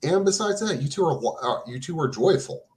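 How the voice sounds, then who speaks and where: A man's voice: fairly high pitch, expressive, a little fast, darkish tone. An earnest man in his forties hosting a casual chat, stammering and restarting his sentences. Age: 40s.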